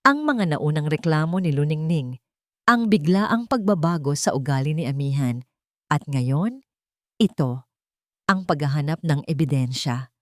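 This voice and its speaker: A woman's voice: low-pitched, animated, a little slow, long pauses, no room sound, clean studio sound. A woman storyteller reading a scripted love drama in a dramatic narration style.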